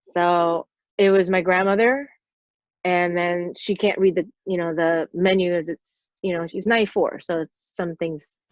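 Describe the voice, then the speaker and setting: A woman's voice: lowish voice, fairly even intonation, a little slow, no echo. Chatty woman guest, dull dry sound. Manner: rambling storyteller, lots of "like" fillers. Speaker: podcast guest, stunt performer. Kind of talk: casual interview chat.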